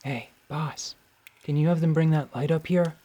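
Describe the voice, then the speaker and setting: A man's voice: a little high, steady tone, moderate pace, mellow, dull audio, voice pushed up, close to an everyday voice. Man playing a wry, laid-back tracker. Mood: casual, wry. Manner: casual, dry, grumbling. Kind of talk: dramatized tabletop radio theatre acting. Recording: faint background noise.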